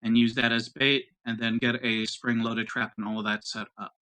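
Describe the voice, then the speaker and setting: A man's voice: mid-range voice, little pitch movement, normal speed, pushed and bright. A man playing a tabletop roleplaying game, talking through plans in a casual way.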